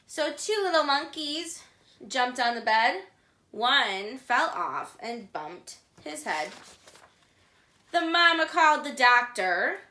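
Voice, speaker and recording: A woman with a high, bright voice hosts a children's storytime in an echoey room. She reads a picture book aloud with deliberate, singsong phrasing aimed at little listeners. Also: very animated, slight background noise.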